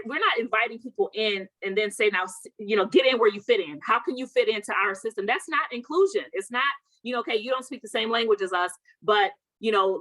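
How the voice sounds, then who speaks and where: A woman with a mid-range voice talks in a relaxed, loose way. She scatters "you know" through her sentences and answers with quick affirmations. Her voice sounds dry and close, with no room echo. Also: medium pitch. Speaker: guest, DEI specialist. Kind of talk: casual conversation.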